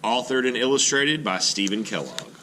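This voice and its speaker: A man reading a children's picture book aloud in an expressive storytelling manner. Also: medium pitch, a little hiss or hum. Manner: expressive, slow, lively storytelling. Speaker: art teacher reading aloud.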